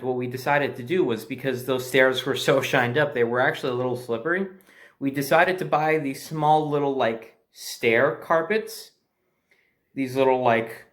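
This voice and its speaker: Male storyteller, mid-pitched voice, casual and unscripted, hesitant, backtracks to correct himself. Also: fairly bright tone.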